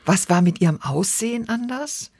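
A woman's voice: mid-range voice, expressive, normal speed, darkish tone, husky, no echo. Dry-sounding attentive woman interviewer. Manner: attentive, summarizing, prompting. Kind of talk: interview questions.

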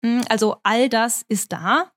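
A woman speaking a language that is not her first, answering interview questions in a measured, explanatory way with frequent hesitations. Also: fairly high pitch, fairly bright tone. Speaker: correspondent in Moscow.

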